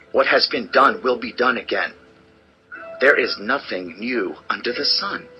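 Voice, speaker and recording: A man's voice: mid-range voice, lo-fi sound. An actor playing a grave, world-worn teacher-king in a dramatized audio Bible, declaiming solemnly with theatrical emphasis. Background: background music.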